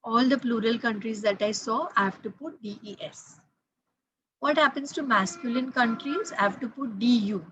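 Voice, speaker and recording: A woman's voice: a little high, moderate pace, fairly bright tone, slight background noise, rough recording. A woman, teaching French grammar patiently, repeating key points and spelling out letters. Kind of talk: explaining grammar to students.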